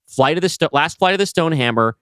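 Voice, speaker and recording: Guy cohosting a podcast, super animated and emphatic, dry studio sound, just chatting. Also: on the high side, fast, clear, resonant tone, dry recording. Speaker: podcast co-host and writer. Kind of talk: casual podcast chat.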